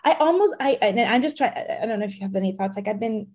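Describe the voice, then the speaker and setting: A woman's voice: mid-range voice, expressive, quick pace, darkish tone, breathy edge. A woman interviewer speaking earnestly and hesitantly over a video call, with many restarts.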